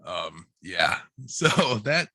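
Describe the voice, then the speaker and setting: Male guest, low husky voice with a forceful edge, casual and joking, close dry sound. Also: sing-song, very slow, dry recording. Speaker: guest author and hairdresser. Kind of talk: casual chat.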